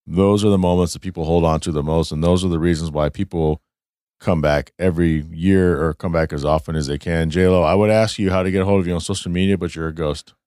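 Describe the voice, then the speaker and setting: A man hosting a casual podcast, hoarse and losing his voice, talking in a loose, rambling way with some swearing. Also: deep voice, fairly even intonation, quick pace, dark tone, dry recording, clean studio sound. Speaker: podcast host. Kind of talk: casual chat. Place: studio.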